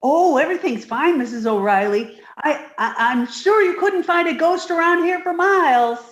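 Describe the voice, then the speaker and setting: A woman's voice: sits high, animated, normal speed, reverberant, lo-fi sound. A woman playing a naive, dim-witted, earnest young roommate in a 1950s-style radio comedy. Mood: innocent. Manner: innocent, literal-minded.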